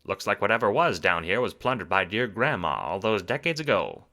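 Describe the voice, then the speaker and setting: A man giving dramatic, wry scripted narration for an audio drama, theatrical and expressive. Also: lowish voice, brisk.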